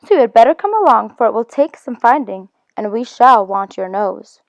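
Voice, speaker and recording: A woman voicing a kindly male water rat who speaks gently and sympathetically, then heartily. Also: on the high side, big pitch swings, normal speed, dry recording. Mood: cheerful. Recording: dry, dull lo-fi.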